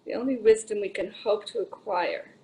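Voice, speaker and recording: A woman with a mid-pitched voice takes part in a discussion of a poem. She gives her opinions bluntly, often breaking off and starting again in a halting way. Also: mellow, rough recording. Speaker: participant in a poetry discussion.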